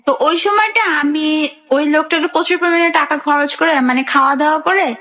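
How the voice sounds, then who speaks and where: A young woman with a high voice and a Bangladeshi accent. She speaks as a guest recounting her own life in a radio interview, going through it in detail and acting out what people said to each other. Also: normal speed, fairly bright tone. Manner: narrates in detail, re-enacting conversations. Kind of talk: personal storytelling in a radio interview.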